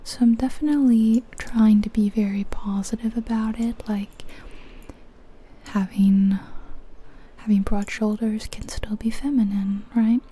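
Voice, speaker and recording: A woman whispering softly and breathily in an unhurried, musing ASMR commentary on a video game. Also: a little high, fairly even intonation, husky. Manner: hushed, unhurried, musing aloud.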